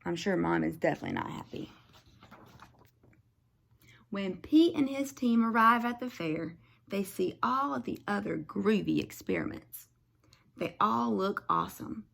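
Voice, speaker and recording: A woman teacher reading a picture book aloud to young children, expressively, pausing to explain things simply. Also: mid-range voice, animated, vocal fry, fairly bright tone.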